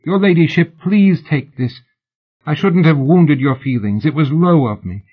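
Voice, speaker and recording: A man reading an audiobook, voicing a pushy, excitable exiled woman who gushes and pleads in an overly familiar way, on a dull lo-fi recording. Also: on the high side, unhurried, slightly rough, voice pushed up. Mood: pleading.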